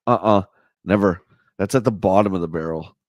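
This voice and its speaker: Casual livestream host, a man with a low, hoarse voice and a chatty manner, recorded dry. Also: fairly quick, no echo. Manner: chatty, answers viewer comments. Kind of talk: casual live chat reading a magazine.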